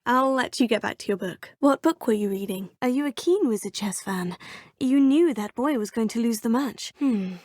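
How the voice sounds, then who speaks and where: A woman's voice: fairly high pitch, animated, moderate pace, a little mellow, no echo, studio quality. An actress voicing a poised, polite young witch student in a game, proper and lightly teasing. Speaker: player character, student. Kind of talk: game voice lines. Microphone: close studio mic.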